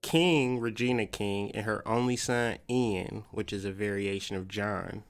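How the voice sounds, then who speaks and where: A low-pitched American man hosts a podcast in an informal, slangy way. He muses in a conversational stop-and-start monologue, with faint music under his voice. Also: a little slow. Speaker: podcast host. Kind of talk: loose conversational monologue on myth and spirituality.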